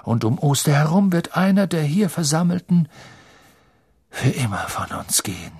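Spooky airy lady fortune teller teacher, high n husky, drags words out all dramatic, audiobook style. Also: very animated, a little mellow, dry recording, studio quality, exaggerated voice. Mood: ominous. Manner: slow, drawn-out, portentous delivery. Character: thin, misty, theatrical female fortune-telling teacher, ominous and airy.

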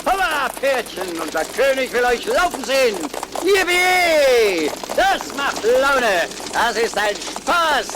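A boisterous coachman hollering to clear the road. His voice is very high and swings wildly in pitch, and he draws his words out slowly. He sounds distant, with clear vehicle noise around him. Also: big pitch swings, full character voice. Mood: rowdy. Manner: loud, jolly, boisterous. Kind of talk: fairy-tale radio play acting.

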